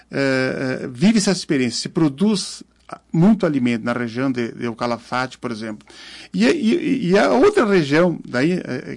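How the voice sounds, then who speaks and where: A man in his fifties with a southern Brazilian accent, answering interview questions earnestly and haltingly, repeating words as he searches for phrasing. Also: a little high, vocal fry, a little mellow. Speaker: interview guest, organic farmer.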